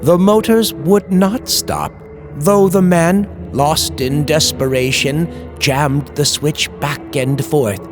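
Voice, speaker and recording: A man reading scripted horror in an old-time radio style, with dramatic, deliberate, suspenseful delivery. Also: on the high side, over music. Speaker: horror story narrator, channel host.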